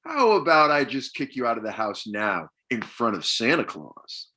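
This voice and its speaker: A man's voice: mid-range voice, very animated, normal speed, pushed and bright, slightly rough, exaggerated voice. A man playing an exasperated middle-aged dad with a dry, sarcastic manner in a comedy table read.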